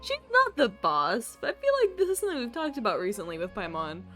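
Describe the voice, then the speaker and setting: A woman's voice: mid-range voice, wildly swooping pitch, quick pace, sharp tone, soft background music. A woman livestreaming a game with casual, giggly chat and an easily flustered manner.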